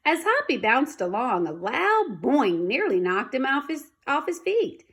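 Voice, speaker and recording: A woman reading a children's storybook aloud in an expressive, dramatic manner. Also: sits high, unhurried, husky. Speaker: storybook reader.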